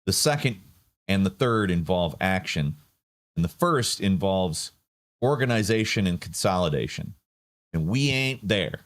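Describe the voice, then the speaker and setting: Sardonic low-voiced man commentator. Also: expressive, measured pace. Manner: sardonic, rambling with fillers and restarts. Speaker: political commentator. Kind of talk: casual political commentary.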